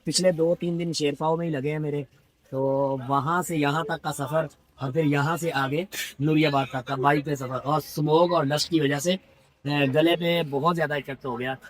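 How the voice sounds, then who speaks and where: A man's voice: fairly high pitch, fairly even intonation, moderate pace. A man with a sore throat, hosting a casual shop tour vlog and chatting with shopkeepers and customers. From Pakistan. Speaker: vlogger host. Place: busy dry fruit shop.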